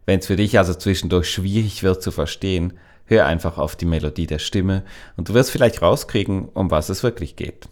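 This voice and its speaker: Deep-voiced Swiss German male host. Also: a little fast. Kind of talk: scripted introduction.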